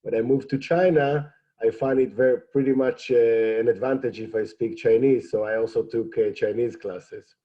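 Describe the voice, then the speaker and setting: A man whose first language is Hebrew, speaking in a measured, hesitant way with many fillers on a panel. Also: mid-range voice, fairly even intonation, lo-fi sound. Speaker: program alumnus panelist. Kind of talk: panel discussion.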